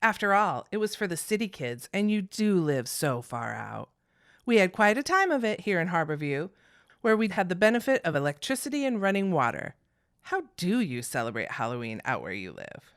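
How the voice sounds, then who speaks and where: An actress playing a snobbish, condescending high school bully in a period audio drama, haughty and theatrical. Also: female voice, very animated, normal speed, no echo, studio quality. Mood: gloating, condescending.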